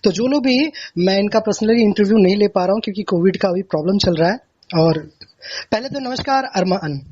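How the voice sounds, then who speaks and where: A man hosting a conversational phone interview, effusive and repeating himself, with a husky, high voice for a man. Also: fairly quick, a little mellow. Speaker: interviewer, radio-style host. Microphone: recorded phone call. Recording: dull, lo-fi phone call recording.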